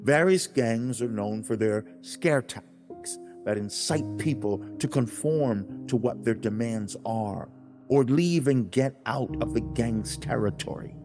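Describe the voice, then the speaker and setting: Audiobook drama: God addressing humankind, played by a man. Grave, fatherly, deliberate preaching delivery, medium pitch, music underneath. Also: lively intonation, close to an everyday voice. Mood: stern. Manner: deliberate, preaching, emphatic enunciation.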